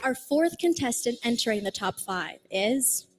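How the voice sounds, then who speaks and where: A woman's voice: a little high, expressive, a little slow, faint music behind. A woman hosting a live pageant broadcast, calling out each contestant in an upbeat, projecting way. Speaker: pageant host.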